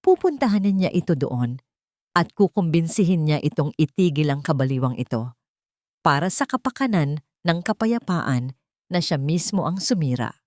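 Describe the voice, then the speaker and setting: A woman's voice: low-pitched, lively intonation, normal speed, a little mellow, no echo, clean studio sound. A woman narrating a dramatic story in a scripted storytelling style.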